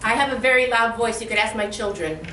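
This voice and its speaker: A woman from Detroit giving a lively, humorous speech at a community event, heard from far off in a hall. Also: on the high side, fast talker. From Detroit, Michigan. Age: older. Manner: lively, humorous, speaks from the heart. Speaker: guest speaker. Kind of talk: public speech. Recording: echoey hall from far off, faint noise.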